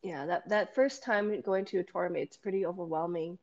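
A woman jiu-jitsu coach talking casually in a podcast-style chat. Her voice is loud and carries, and she talks in an animated way, acting out quoted lines. The recording sounds a little dull and lo-fi. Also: fairly low pitch, brisk. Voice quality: loud, carrying voice. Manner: animated, laughs often, acts out quoted dialogue.

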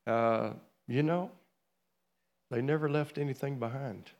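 A man's voice: mid-range voice, unhurried, darkish tone. A man, preaching from a church pulpit as a traveling minister in a folksy, joking way. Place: church sanctuary.

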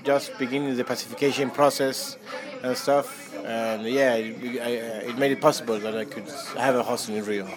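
A man's voice: medium pitch, fairly even intonation, normal speed, crowd noise. A man from Chile who runs a hostel, answering interview questions casually with many fillers and restarts. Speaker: hostel owner.

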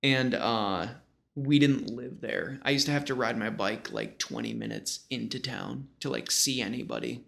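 A man in his thirties with an American accent talks in a relaxed, rambling storyteller's style. His mid-pitched voice is full of vocal fry. The phrasing is informal and slangy, like a guest swapping memories. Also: normal speed. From small-town western New York, United States. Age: 30s. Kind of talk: casual conversational storytelling.

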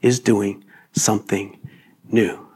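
A man in his fifties, a pastor, preaching a sermon in a loose, conversational way, his voice a little raspy today, with many fillers and restarts. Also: lowish voice, steady tone, takes it slowly, a little mellow, rough recording. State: raspy voice this morning.

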